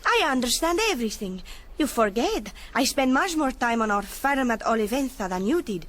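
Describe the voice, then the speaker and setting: High-pitched cheeky young girl. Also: animated, moderate pace, a bit bright. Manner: pert, inquisitive, knowing. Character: bold, cheeky Spanish teenage girl, younger sister. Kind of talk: BBC radio historical drama acting.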